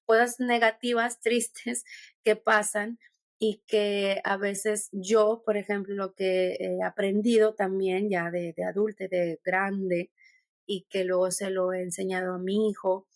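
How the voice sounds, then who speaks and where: A woman's voice: medium pitch, flat delivery, slow, dry recording. A Mexican woman with a Mexican accent, a podcast guest talking thoughtfully and meandering, with many restarts. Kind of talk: conversational podcast interview.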